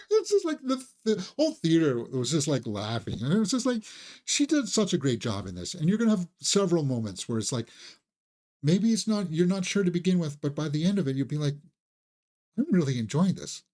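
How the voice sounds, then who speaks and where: A man's voice: on the high side, big pitch swings, a little fast, a little mellow. A man hosting a casual, conversational film review, enthusiastic and opinionated, sometimes repeating words as he thinks aloud.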